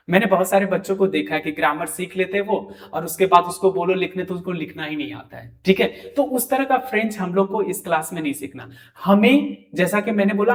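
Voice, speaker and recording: A man with an Indian accent giving a French lesson to students. He keeps asking them questions and has them repeat words back to him. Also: high-pitched. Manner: talks to students directly and asks them to repeat after him. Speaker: French teacher. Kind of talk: classroom lecture.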